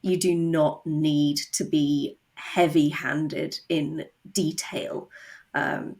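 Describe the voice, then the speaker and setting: A woman chatting casually as an interview guest, telling things in an animated way with quoted asides. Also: low voice, very slow. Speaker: guest, audio drama writer and novelist.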